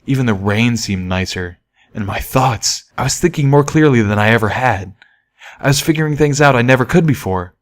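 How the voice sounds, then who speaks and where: A man's voice: mid-range voice, animated, moderate pace. A man reading a scripted first-person story aloud as an audiobook narrator. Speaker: audiobook reader.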